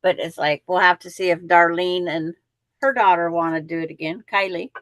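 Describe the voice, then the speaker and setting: A woman's voice: fairly low pitch, normal speed, dry recording. A woman hosting a quilting show, chatting in a rambling way and explaining how the block pieces fit together. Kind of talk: casual live chat and craft instruction.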